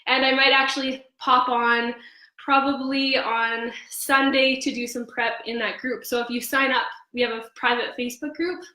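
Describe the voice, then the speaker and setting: A young woman with a slightly hoarse voice, chatting casually on a live cooking stream with frequent ums. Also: sits high, flat delivery, normal speed, sharp tone. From Canada. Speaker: host of a cooking livestream. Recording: echoey room.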